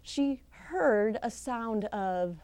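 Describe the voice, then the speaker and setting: A woman performs a folktale as a practiced oral storyteller. Her voice is soft-toned and a little high, and she takes her time. She leans on key words with dramatic pauses, and the sound is dry and close. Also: clear, resonant tone, no echo. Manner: expressive, theatrical, with deliberate pauses and emphasis. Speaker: professional storyteller. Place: live storytelling session at a conference.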